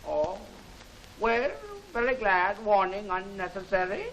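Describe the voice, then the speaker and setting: An actor playing a polite, deferential Chinese man, with a put-on Chinese accent and halting broken English, in a 1940s film serial. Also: high-pitched, a bit bright. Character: soft-spoken, courteous Chinese man in disguise, deferential. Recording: hissy, noisy old film soundtrack.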